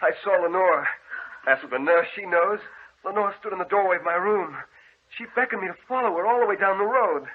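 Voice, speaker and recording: An actor playing a distraught, obsessed grieving husband in an old-time radio horror drama, melodramatic and anguished. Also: male voice, high voice, brisk, breathy edge, phone-quality sound. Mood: dazed longing. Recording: thin, band-limited vintage radio recording.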